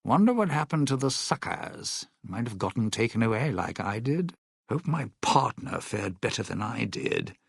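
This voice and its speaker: An actor voicing a suave, bitter, century-old lounge singer in a video game, a sardonic and scheming showman with a raspy voice. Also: medium pitch, very animated, moderate pace, no room sound, studio quality, full character voice. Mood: muttering, uneasy. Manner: theatrical, sardonic showman talking in stage metaphors.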